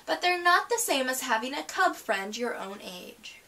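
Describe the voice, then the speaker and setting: A kindly mother bear from a picture book, read by a woman, speaks in a high, animated voice. She is attentive and motherly, heard slightly away from the microphone. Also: moderate pace, creaky, far from the mic, a little hiss or hum, heavily acted. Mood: observant.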